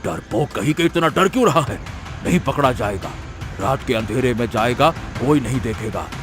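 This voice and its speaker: A menacing cartoon village strongman acted by a man, with a mid-pitched voice. He is arrogant, vengeful and domineering, with music playing behind him. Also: lively intonation, moderate pace, lightly acted. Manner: threatening, domineering. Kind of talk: Hindi cartoon moral-story voice acting. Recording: background music under the voice.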